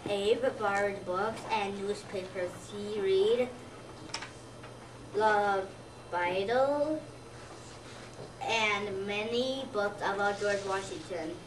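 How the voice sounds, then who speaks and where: A young child of about seven or eight, a second grader, reading a scripted narration aloud in a halting way. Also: mid-range voice. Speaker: second-grade student reader. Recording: distant mic, noisy background.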